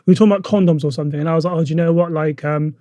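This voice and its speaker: A man's voice: a little high, fairly even intonation, very fast, warm tone, dry recording. A man hosting a podcast in casual chat, telling stories in an easy, agreeable way. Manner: conversational storyteller, agreeable, chatty. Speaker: podcast host.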